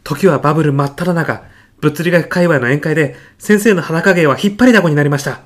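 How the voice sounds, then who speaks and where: A man's voice: fairly high pitch, fairly quick, lightly acted. A man playing an earnest, solemn physicist disciple. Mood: deadpan, reverent. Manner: formal, solemn, deadpan, grandiose storytelling. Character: earnest, reverent male physicist, devoted protégé of late professor. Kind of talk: audio comedy sketch acting.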